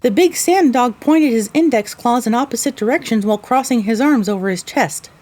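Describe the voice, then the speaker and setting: A woman's voice: on the high side, expressive, fairly quick, a little mellow. A woman playing a young pony mare who narrates her own adventure in an audio drama. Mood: earnest, storytelling. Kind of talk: scripted first-person audio drama narration.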